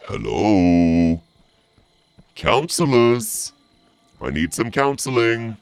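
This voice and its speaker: Deep gravelly man, wildly animated. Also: drawn-out words, no room sound. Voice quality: deep, gravelly, through a voice changer at times. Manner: sweary, mocking, theatrical. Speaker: streamer playing a horror game. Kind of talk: live gameplay commentary, trash talk.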